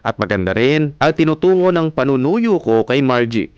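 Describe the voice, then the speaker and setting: A man's voice: mid-range voice, lively intonation, moderate pace, a little mellow, clean tone, dry recording, dull audio, natural voice. An actor playing an earnest fisherman, narrating his own story in a scripted, explanatory way. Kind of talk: scripted first-person narration.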